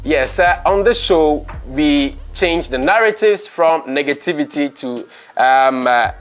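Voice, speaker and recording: A man with a Ghanaian accent hosting a talk show in an informal, chatty, questioning way, slipping into Pidgin phrases. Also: a little high.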